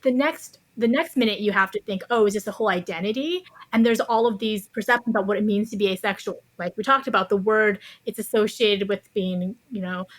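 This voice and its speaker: Woman, mid-range pitch, podcast guest, conversational, thoughtful, articulate, carefully qualified explanations. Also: brisk, hardly any pauses, rough recording. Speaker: interview guest, author.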